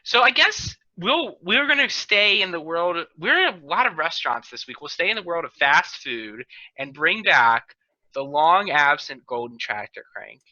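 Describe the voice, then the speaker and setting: A man's voice: fairly high pitch, sing-song, moderate pace, bright, forceful tone. A man hosting a casual panel chat, recorded over a slightly dull video call. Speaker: podcast host.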